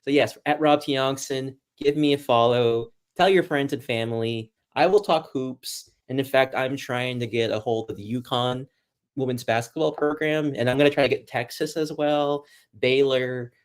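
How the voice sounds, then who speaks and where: An American man from Boston talks as a guest sports journalist on a casual livestream. His voice sits at an average pitch. He is chatty and self-deprecating as he addresses the viewers. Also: moderate pace, smooth. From Boston, United States.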